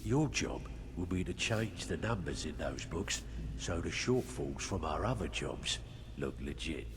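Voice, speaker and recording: An actor playing a matter-of-fact criminal fixer who hands out jobs in a fantasy video game. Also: male voice, extremely low pitch, animated, unhurried. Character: businesslike thieves' guild fixer handing out jobs. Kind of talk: video game character dialogue. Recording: clear noise behind the voice.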